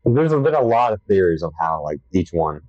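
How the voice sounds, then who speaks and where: A young man with a low voice co-hosting a casual podcast chat, an enthusiastic horror fan who rambles a little. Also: very animated, moderate pace, a little mellow, dry recording. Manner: enthusiastic, self-described horror fanatic, rambling. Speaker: podcast co-host. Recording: dry, dull lo-fi sound.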